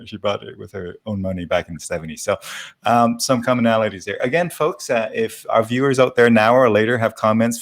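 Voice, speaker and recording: A man hosting a casual live interview, speaking in halting, measured phrases. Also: medium pitch. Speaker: talk show host.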